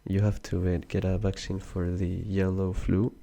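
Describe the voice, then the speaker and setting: Soft deep peruvian guy, gravelly low voice, talks slow with lots of uhs. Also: level tone. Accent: Peruvian Spanish, mixed with Mexican and Argentinian. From Peru. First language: Spanish. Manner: soft-spoken, hesitant with many fillers. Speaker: interview guest. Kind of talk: soft-spoken casual interview.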